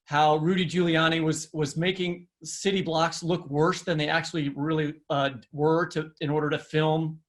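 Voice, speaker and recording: A man's voice: fairly high pitch, level tone, moderate pace, few pauses. Male podcast co-host, conversational. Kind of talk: conversational interviewing.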